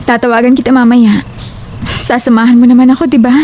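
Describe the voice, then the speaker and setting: A woman's voice: a little high, flat delivery, brisk, warm tone, slight background noise, natural voice. A woman playing a troubled, determined young daughter in an audio drama, sounding weary and resolute.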